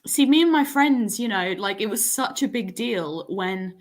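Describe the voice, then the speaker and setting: A woman in her late twenties chatting casually as a panelist, telling stories in an animated, self-mocking way. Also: medium pitch, moderate pace. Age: late 20s. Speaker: panelist in a writers' livestream. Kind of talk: casual chat.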